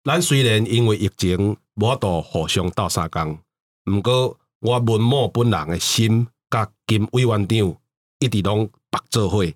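A man with a Taiwanese accent playing a solemn, tender head of state in a mock radio drama, in slow sentimental oratory. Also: fairly low pitch, no room sound, clean studio sound, voice pushed down.

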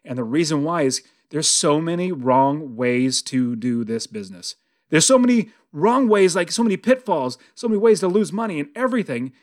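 Higher-pitched American man, podcast host. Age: early 40s. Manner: conversational, emphatic, repeats phrases for stress. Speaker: podcast host and real estate investing coach. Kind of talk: motivational podcast monologue.

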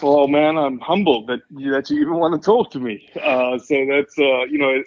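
A man's voice: mid-range voice, moderate pace, dry recording, highs cut off. A man in his forties from South Africa with a South African accent, a podcast guest talking casually and humbly, with many restarts. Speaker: guest, wildlife scientist.

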